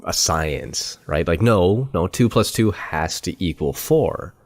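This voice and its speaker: A man's voice: low-pitched, lively intonation, slow. A man hosting a podcast interview, chatting in a friendly, talkative way full of fillers. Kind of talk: casual interview chat.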